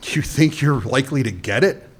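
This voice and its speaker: A man's voice: medium pitch, animated, normal speed, breathy edge. A man playing a cynical male smuggler turned hotel owner, dry and sardonic, in a radio play. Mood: wary. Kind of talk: amateur radio drama acting.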